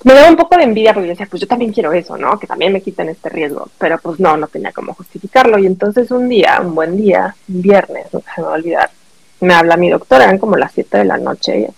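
Mexican woman telling stories, creaky voice. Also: fairly low pitch, lively intonation, fast talker, rough recording. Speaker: podcast guest. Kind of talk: personal storytelling in casual conversation.

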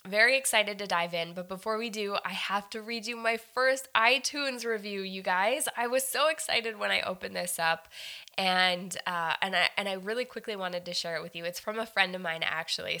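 A woman's voice: lowish voice, bright, forceful tone. A woman hosting a faith-based podcast, upbeat and earnest, talking to listeners like friends, a bit nasal and stuffed up from a head cold. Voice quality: slightly nasal. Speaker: podcast host.

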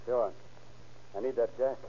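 An actor playing a breezy husband and small-time schemer in an old radio drama. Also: male voice, mid-range voice, steady tone, a little slow, warm tone, close to an everyday voice. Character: breezy husband scheming a faked drowning for money. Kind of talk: 1940s radio acting. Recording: dull, hissy old radio recording.

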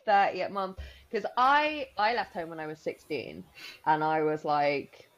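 Podcast co-host, woman in her 30s, deep voice, rambling chatty delivery, slightly muffled lo-fi sound. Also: big pitch swings, measured pace. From Spain. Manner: chatty, rambling with many likes and restarts. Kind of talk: casual chat.

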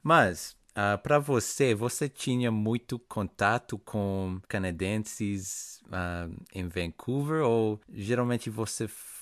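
Slow, low-voiced hesitant male host. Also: a bit bright, no echo, clean studio sound. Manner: slow, hesitant, many restarts and fillers. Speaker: podcast host. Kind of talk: casual interview chat.

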